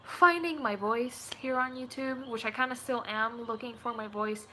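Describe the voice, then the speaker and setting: A woman's voice: a little high, moderate pace, bright, forceful tone. A twenty-year-old woman from Puerto Rico chatting casually and playfully in a rambling way, like a vlogger talking to viewers. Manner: playful, rambling, slips into put-on accents and voices. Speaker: video creator chatting with viewers. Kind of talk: casual live chat. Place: small room at home, indoors. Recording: noisy home webcam audio.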